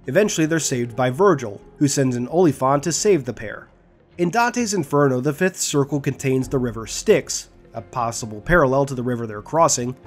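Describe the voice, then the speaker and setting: A man delivers scripted narration as the host of an explainer video. His voice has an average pitch, and music plays behind him. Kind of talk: scripted guide and lore narration.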